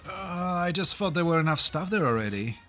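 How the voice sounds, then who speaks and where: A man's voice: high voice, expressive, brisk, bright, forceful tone, faint music behind. A man voicing a nervous, deferential lab subordinate in an amateur fan dub, with a hesitant manner.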